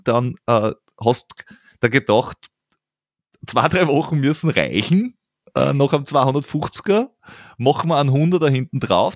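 A man's voice: mid-range voice, dry recording, studio quality. A man hosting a podcast, chatting casually with many stammered restarts and laughs. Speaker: podcast host. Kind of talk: casual interview chat.

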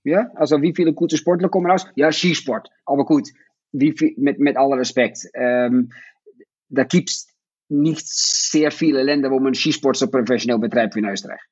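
A man's voice: mid-range voice, moderate pace, darkish tone, breathy edge, no echo, highs cut off. A Dutch man in his fifties, a native Dutch speaker, talking casually with a Dutch accent, hesitant and full of fillers and restarts. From Netherlands. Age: 50s. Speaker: guest, parent of athletes. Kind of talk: casual conversation.

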